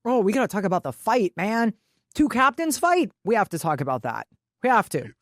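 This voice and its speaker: A woman's voice: mid-range voice, sing-song, brisk, no room sound, studio quality. A woman co-hosting a casual sports podcast, brash and salty, swearing freely. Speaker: podcast co-host. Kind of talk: casual, animated sports chat.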